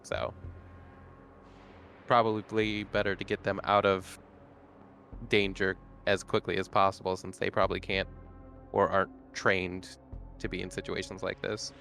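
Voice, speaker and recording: A man's voice: sits low, little pitch movement, unhurried, gravelly, long pauses, a bit bright. A man playing a tabletop roleplaying game, talking casually and a little tentatively as he thinks aloud. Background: music under the voice.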